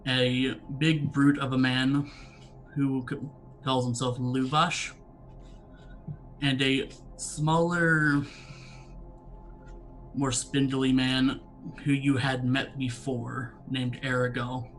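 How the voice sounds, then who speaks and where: A man running a tabletop game as dungeon master, narrating in a measured, even storytelling voice from a home setup. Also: mid-range voice, soft background music, poor audio.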